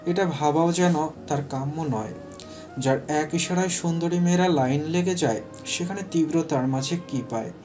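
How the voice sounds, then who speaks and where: Casting: male narrator for romantic fiction, fairly high pitch, dark mellow timbre, scripted storytelling.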